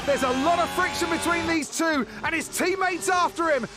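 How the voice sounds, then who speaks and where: A man giving excited, dramatic and forceful motor racing commentary for a video game. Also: very high-pitched, normal speed. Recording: loud music and engine sound behind.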